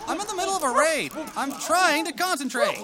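Irritable teenage son, acted, high voice, sarcastic and whiny, live stage audio drama, noisy crowd. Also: expressive, brisk. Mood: exasperated.

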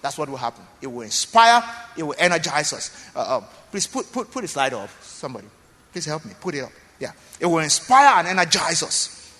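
A high, lively man preaches to his congregation with a bright, forceful voice. He repeats himself for emphasis and keeps checking that his listeners follow. His voice rings with the long reverb of a church hall. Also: a little fast. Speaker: pastor. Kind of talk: sermon.

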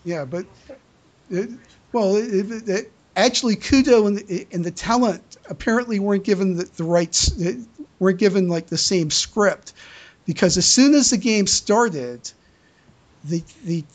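Podcast host chatting fan news, stammers a lot, keeps repeating words, medium pitch. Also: a little slow. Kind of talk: casual fan news chat.

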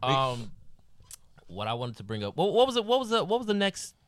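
A young man drawling and teasing through casual banter, cursing often. His voice is bright and edgy and swings widely in pitch, recorded close and dry. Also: huge pitch swings, a little fast, clean tone, no echo. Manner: drawling, teasing, swears freely.

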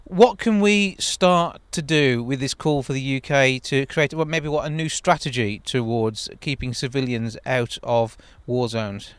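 A man hosting a radio show, asking steady, measured questions in a studio. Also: mid-range voice, clean tone, no echo. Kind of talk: radio interview.

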